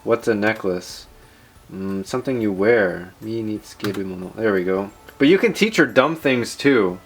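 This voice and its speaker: A man hosting a tech video, chatting informally and rambling through a software demo with playful asides. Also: on the low side, expressive, normal speed, soft background music. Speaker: tech channel host. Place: indoors at a desk in a room.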